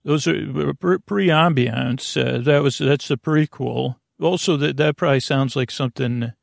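A gravelly-voiced man hosts a sleep podcast, drifting from thought to thought in a halting, unhurried ramble. He keeps catching and correcting his own words. The sound is dry and close, with no room around it. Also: medium pitch, dry recording. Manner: meandering, halting, self-correcting, drowsy. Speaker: podcast host. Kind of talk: rambling bedtime podcast intro.

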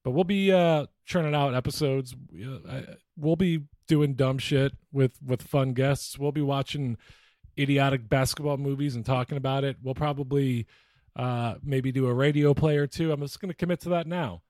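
Male podcast co-host, average pitch, casual, profane and joking, recorded very dry. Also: fairly even intonation, normal speed, a little mellow, no room sound. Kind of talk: casual chat.

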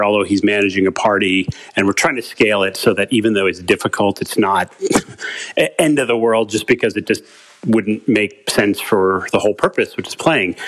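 Low-voiced man leaving a casual message. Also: a bit bright. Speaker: podcast caller.

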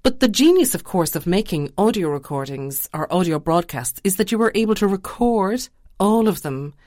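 A woman presenting radio conversationally, expressive and chatty. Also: mid-range voice, normal speed, dry recording, clean studio sound.

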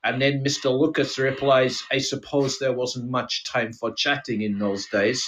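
A man voicing a retired Russian KGB colonel in improvised comedy, with a mock Russian accent that sometimes slips into Scottish, halting and nostalgic in broken English. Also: mid-range voice, flat delivery, fairly bright tone, slight background noise, voice pushed down, lightly acted, rough recording. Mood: wistful, earnest. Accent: mock Russian, sometimes slipping into Scottish. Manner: halting, rambling, broken English with dropped articles. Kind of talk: improvised comic role-play.

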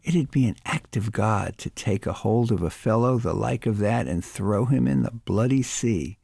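A man voicing a belligerent, hard-drinking Dublin man who rants coarsely and mockingly, with a gravelly, husky, lively voice. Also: lowish voice, normal speed, no echo, studio quality. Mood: scornful rant.

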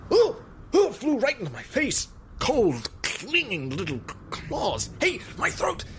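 A man acts out a frayed, sleepless gentleman in a radio melodrama. His gravelly voice swings wildly in pitch as he mutters, gasps and whispers to himself in agitation, over a noisy track. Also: wildly swooping pitch, drawn-out words, exaggerated voice. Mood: panicked terror. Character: nervous, sleepless wealthy man terrorized by a bat. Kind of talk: melodramatic radio drama acting.

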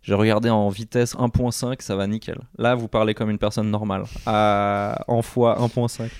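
A man's voice: fairly low pitch, flat delivery, moderate pace, a little mellow, clear, resonant tone, dry recording, studio quality. A man interviewing a guest, asking curious, polite questions with frequent hesitations. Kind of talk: casual interview chat.

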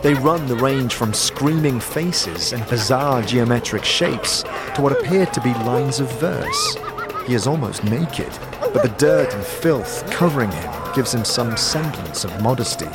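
A man's voice: mid-range voice, huge pitch swings, measured pace, over music. A man giving dramatic, theatrical scripted narration for a fantasy audio drama, telling the story vividly in the second person.

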